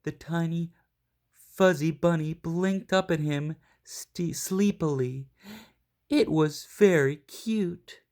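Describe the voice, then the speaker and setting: A man reading a children's picture book aloud expressively, leaning on key words with deliberate, dramatic emphasis. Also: fairly high pitch. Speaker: storybook reader.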